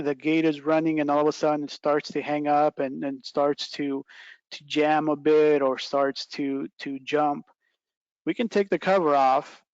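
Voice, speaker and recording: A man's voice: on the high side, clean tone, no room sound, highs cut off. A middle-aged man giving an informal product presentation on a video call, explaining step by step and checking in with "right" and "okay". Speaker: product trainer presenting a webinar. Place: small plain indoor room, video call.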